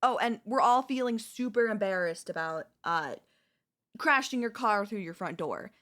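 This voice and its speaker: A woman's voice: mid-range voice, expressive, normal speed, a bit bright, natural voice. A woman playing a chatty, cheeky female zombie in a comedic audio roleplay, breezy and falsely friendly. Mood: nervous, placating. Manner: breezy coaxing, falsely friendly, awkward backpedalling.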